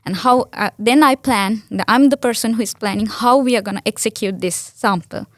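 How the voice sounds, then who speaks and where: A woman's voice: a little high, brisk, no echo, clean studio sound. A young woman from Sri Lanka with a Sri Lankan accent, a native Sinhala speaker, answering interview questions earnestly with many restarts and fillers. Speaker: guest.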